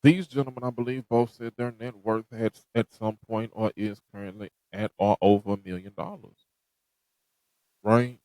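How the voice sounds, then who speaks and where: A man's voice: on the low side, steady tone, normal speed, darkish tone, dry recording, low-quality recording. A man hosting a podcast, giving a direct, motivational talk that repeats its points. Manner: preachy, direct, repeats himself. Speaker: podcast host.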